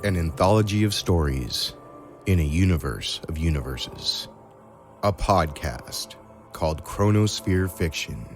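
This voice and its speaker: A man's voice: sits low, lively intonation, a little slow, faint music behind. A man reading a scripted podcast trailer as an announcer. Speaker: promo announcer.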